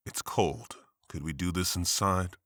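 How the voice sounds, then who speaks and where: A man's voice: low-pitched, measured pace, fairly bright tone, no echo, studio quality. An actor playing a blunt, sardonic male district attorney.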